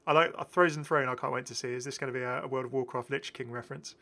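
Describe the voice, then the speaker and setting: A man's voice: medium pitch, steady tone, racing, pushed and bright. A man hosting a gaming video with casual, enthusiastic and chatty commentary. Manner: chatty, prone to chuckling asides.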